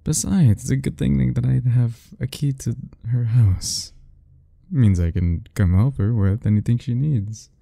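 A man voicing a doting, possessive yandere admirer in soft, husky, intimate murmurs for an ASMR romance roleplay. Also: mid-range voice, no room sound, studio quality. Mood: conflicted, yearning. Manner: slow, intimate murmuring, coaxing and self-talking.

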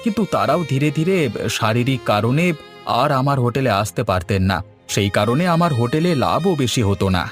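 A humble village stallkeeper from a cartoon, acted by a man, with a mid-pitched voice. He is eager, folksy and coaxing, speaking over background music. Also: expressive, a little fast, natural voice. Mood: polite. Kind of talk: cartoon voice acting. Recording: music bed under the voice.

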